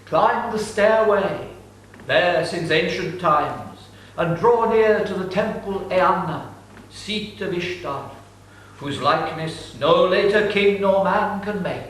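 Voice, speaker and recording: A man reciting an ancient epic in a slow, grave, declaiming way. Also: sits high, a bit bright, recorded from a distance. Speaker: reader. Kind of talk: dramatic reading of an ancient epic. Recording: echoey room, voice set back from mic with faint noise.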